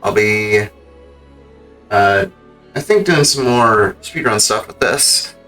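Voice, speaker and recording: A male game streamer with a fairly low but bright, forceful voice. He talks in a casual, rambling style, loose and slangy, as if wrapping up a livestream. Music plays behind him. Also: animated, slow. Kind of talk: casual livestream sign-off chat. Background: background music.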